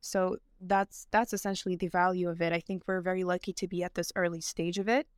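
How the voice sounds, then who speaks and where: A young woman talks through her answers in an earnest, easygoing way, pausing with fillers and restarting her sentences. Her voice is smooth and resonant at a middle pitch. The sound is dry and close, with no room around it. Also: flat delivery, no room sound. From United States. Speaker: interview guest, fashion founder. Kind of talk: casual interview answers.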